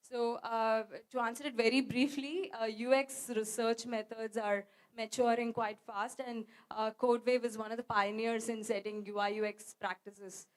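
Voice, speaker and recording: A woman with an Indian accent and a slightly high voice answers a question at a live talk. She lays out her points step by step in a professional way. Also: little pitch movement, normal speed, few pauses. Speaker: guest speaker, company founder.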